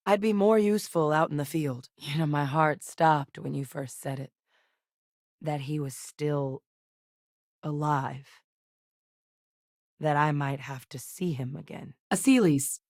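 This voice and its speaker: Earnest game scientist: low, measured, candid, dry studio read. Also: female voice, no room sound. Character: earnest woman scientist, candid and quietly troubled. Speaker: game character. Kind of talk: video game dialogue.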